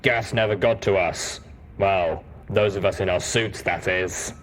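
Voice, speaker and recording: A man voicing a gruff, stoic male soldier pony in a story, with a deep rumble and a blunt manner. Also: unhurried, fairly bright tone. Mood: proud.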